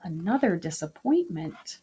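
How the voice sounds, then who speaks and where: A woman reading a children's picture book aloud, slow, gentle and expressive. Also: fairly low pitch, husky, muffled recording. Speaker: storybook reader.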